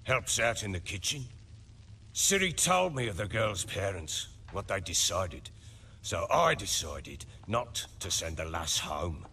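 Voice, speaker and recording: An actor playing a gruff, commanding middle-aged lord in a video game, with blustering, archaic rustic speech. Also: male voice, low-pitched, big pitch swings, a little slow, bright, forceful tone, a little hiss or hum, full character voice. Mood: guarded, bargaining. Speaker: lord with men and hounds. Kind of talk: dramatic video game acting.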